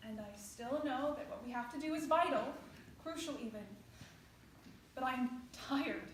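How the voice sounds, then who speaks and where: Wistful, weary lover played by a woman, high register, earnest staged reading, faint noise. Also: moderate pace, natural voice. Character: weary, loving reincarnated soulmate, woman, wistful and dreamy. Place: indoor stage. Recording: very echoey room, faint noise.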